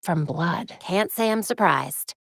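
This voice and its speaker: A woman voicing a young American superheroine in a video game, sharp, determined and dryly witty. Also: on the low side, expressive, slow, gravelly, no echo, exaggerated voice. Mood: wry, teasing. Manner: dry, wry asides.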